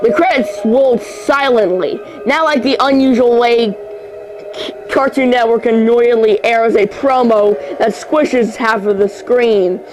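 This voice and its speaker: Amateur creepy-story reader, slow and halting, mid-range voice, frequent stumbles, prominent music underneath. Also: medium pitch, poor audio. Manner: halting, stumbles over words and repeats phrases. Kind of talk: amateur horror story reading.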